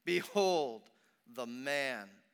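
A man's voice: mid-range voice, expressive, very slow, gravelly, fairly bright tone, clear, resonant tone, heavily acted. A man playing a stern, insistent Roman governor in a dramatic scripture reading, with commanding, emphatic delivery, in an echoing hall. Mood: loud, declaring. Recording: long reverberant hall echo.

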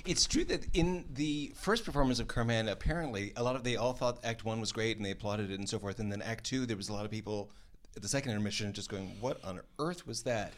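A man's voice: on the low side, quick pace. A man leading an informal, thoughtful lecture-style conversation about music, as an opera dramaturg.

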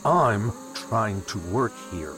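A man's voice: fairly low pitch, very animated, slow pace, music in the background. A man playing a resentful, prickly elder brother in a fantasy audio drama, curt, defensive and bitter. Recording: loud insect ambience behind.

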